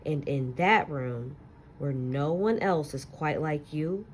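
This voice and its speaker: A woman teacher reading a children's picture book aloud with gentle, expressive storytelling and soft asides to young listeners. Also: sits low, slight background noise.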